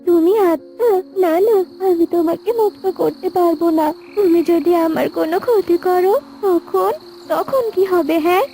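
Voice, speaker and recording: A woman's voice: very high voice, unhurried, mellow, slight background noise. A woman voicing a poor, loving, worried mother bird in a cartoon, speaking earnestly and plaintively. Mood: wary. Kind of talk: cartoon character voice.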